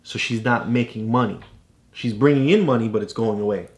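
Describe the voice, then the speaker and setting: A woman's voice: extremely low pitch, a little fast, darkish tone. A woman casually explaining things to a child as a tutor, encouraging and often checking understanding with questions. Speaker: parent tutor guiding a child's reading.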